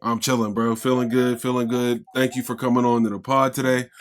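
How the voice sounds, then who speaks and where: A man's voice: mid-range voice, flat delivery, brisk. A young man from Pittsburgh hosting a casual podcast interview, upbeat and slangy with hype greetings. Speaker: podcast host.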